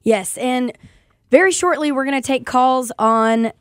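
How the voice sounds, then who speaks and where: Woman radio co-host, bright high register, upbeat and chatty, close dry mic. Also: unhurried, no echo. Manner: upbeat, reads phone numbers and breaks. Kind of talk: casual radio chat.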